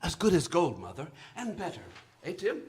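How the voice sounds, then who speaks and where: Actor as husky, very animated kindly father. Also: fairly high pitch, normal speed, exaggerated voice. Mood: tender. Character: kindly, humble, affectionate father of a poor family. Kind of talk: stage radio drama acting.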